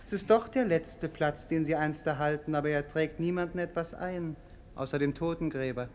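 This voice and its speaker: An actor playing a bored, mocking young prince in a German radio play, ironic and wordy, swinging between languid and sharp. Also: male voice, on the high side, normal speed, slight background noise, close to an everyday voice. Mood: languid irony.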